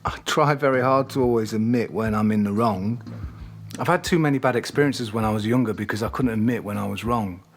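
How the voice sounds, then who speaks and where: An actor playing an insecure boyfriend in an audio play, earnest, pleading and prone to over-explaining himself. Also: male voice, on the low side, fairly quick. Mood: apologetic. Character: insecure, apologetic, self-justifying boyfriend, eager to please. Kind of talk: audio play dialogue and monologue.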